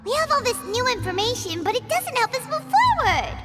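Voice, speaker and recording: A woman voicing a small, chirpy, curious childlike fairy companion in a video game, squeaky and eager. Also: very animated, brisk, in a large hall, over loud music. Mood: puzzled.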